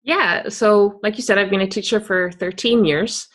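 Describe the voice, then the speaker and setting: A woman's voice: mid-range voice, moderate pace. Scottish woman, accent worn down from living abroad, upbeat and candid, laughs a lot, says "you know" a lot. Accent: Scottish, softened by years abroad. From Scotland. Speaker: tech coach and guest. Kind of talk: conversational interview answers.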